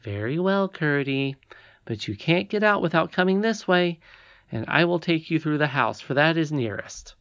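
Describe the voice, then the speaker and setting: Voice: medium pitch, lively intonation, normal speed, a bit bright, highs cut off, voice pushed up. A reader voicing a confident, insistent young princess with an earnest manner in a storybook. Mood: eager, triumphant.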